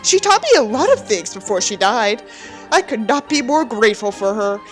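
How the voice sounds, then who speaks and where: Woman acting out a bitter, melodramatic young narrator, high-pitched. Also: very animated, normal speed, soft background music. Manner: melodramatic, sneering. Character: bitter, contemptuous, self-pitying young narrator of a novel. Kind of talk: exaggerated dramatic reading.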